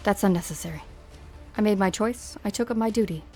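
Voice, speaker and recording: Actress as no-nonsense female security chief, game drama, medium pitch, clipped blunt lines, light music bed. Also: a little fast, dark tone, close to an everyday voice. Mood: stern, defiant. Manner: terse, blunt. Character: stern, duty-bound female security director. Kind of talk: fan-dubbed game drama dialogue.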